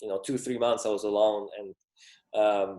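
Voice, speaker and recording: Low-voiced man, echoey room. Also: steady tone, brisk, warm tone. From Russia. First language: Russian. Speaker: guest, professional tennis player. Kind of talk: casual interview talk.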